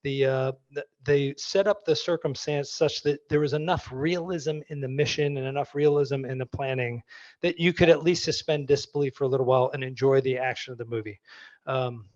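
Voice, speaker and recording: A man's voice: medium pitch, steady tone, fairly quick, darkish tone, no room sound, dull audio. A man, answering audience questions in a conversational way full of restarts. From Maine, US. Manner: conversational, many restarts and fillers. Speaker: guest speaker.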